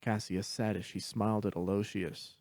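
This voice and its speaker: A man's voice: on the low side, level tone, moderate pace, a little mellow, dry recording, studio quality. A man reading scripted story narration as the narrator.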